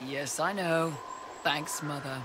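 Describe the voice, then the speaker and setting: An actor playing a cocky, loyal young mercenary lieutenant in a video game, answering in brisk, cheeky soldierly phrases. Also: male voice, a little high, background noise. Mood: cheeky, confident. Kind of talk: video game cutscene acting.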